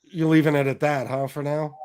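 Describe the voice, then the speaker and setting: A man in his forties hosting a casual interview chat, relaxed and agreeable, reading out viewer questions. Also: a little high, fairly quick, clear, resonant tone. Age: 40s. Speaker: host.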